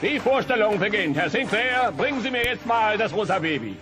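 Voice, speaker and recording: High, showy dinosaur magician. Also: male voice, very animated, brisk. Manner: theatrical patter. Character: showy dinosaur stage magician, slick showman. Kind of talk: cartoon audio drama acting. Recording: loud music behind the voice.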